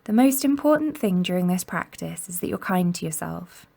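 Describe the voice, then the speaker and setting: A woman guiding a meditation in a gentle, soothing and unhurried manner, leaving long pauses between instructions. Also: on the low side, lively intonation, gravelly. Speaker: mindfulness meditation guide. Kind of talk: guided body scan meditation narration.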